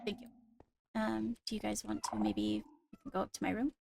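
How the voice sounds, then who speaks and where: A woman playing a composed, courteous court leader in an improvised fantasy game roleplay, speaking in a measured conversational way. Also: mid-range voice, fairly even intonation, creaky, darkish tone. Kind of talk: improvised Minecraft roleplay in character.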